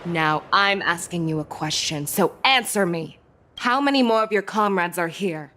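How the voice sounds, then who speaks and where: Low-voiced, stern general. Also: female voice, pushed and bright. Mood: stern, commanding. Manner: measured, authoritative. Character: stern, composed female general, proud and commanding. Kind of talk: dramatic video game voice acting.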